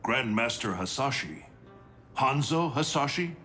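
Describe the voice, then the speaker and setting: A man playing a formal, commanding warrior leader in a video game, speaking deliberately. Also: mid-range voice, expressive, bright, forceful tone, faint music behind. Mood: concerned, questioning. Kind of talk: dramatic video game cutscene acting.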